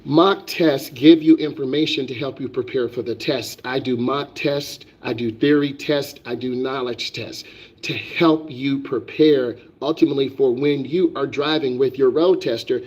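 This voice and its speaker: A man's voice: medium pitch, normal speed, darkish tone, husky. A man who is a driving instructor, lecturing on a live stream with emphatic, repetitive, preachy delivery. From United States.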